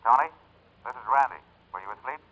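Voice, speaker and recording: An actor playing a smooth-talking, insistent man coaxing a sweetheart, in 1940s radio style on a reverberant vintage recording. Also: mid-range voice, fast, sharp tone. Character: smooth-talking, insistent male suitor phoning from a bar.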